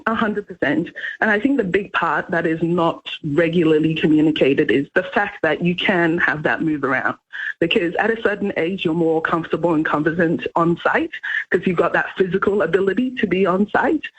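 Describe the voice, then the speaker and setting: Husky woman from Ghana, muffled radio sound. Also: fairly low pitch, moderate pace. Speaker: radio interview guest, engineer. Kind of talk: conversational interview answers. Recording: muffled radio interview audio.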